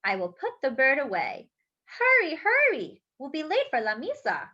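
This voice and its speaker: Grandmother role read by a woman, storybook. Brisk, firm, caring, bright and high-pitched. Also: wildly swooping pitch, full character voice. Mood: brisk, urgent. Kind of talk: storybook character voice. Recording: dull lo-fi recording.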